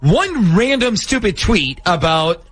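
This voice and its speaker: A man's voice: high voice, sing-song, slow, a bit bright, slight background noise. A man hosting a morning radio show, talking through a prank call segment.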